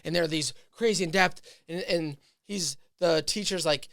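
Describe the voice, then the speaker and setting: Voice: medium pitch, moderate pace, creaky, a bit bright, dry recording, clean studio sound. A podcast host thinking aloud in casual chat, rambling with many fillers and restarts.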